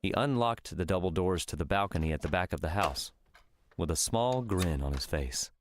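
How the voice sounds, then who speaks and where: Deep-voiced male audiobook narrator. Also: expressive, moderate pace, slight background noise. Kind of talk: scripted dramatic fiction narration.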